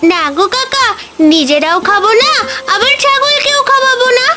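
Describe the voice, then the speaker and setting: A sweet, helpful young village girl, pitched extremely high. She explains things eagerly in a children's fairy tale cartoon, with prominent music underneath. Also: animated, moderate pace.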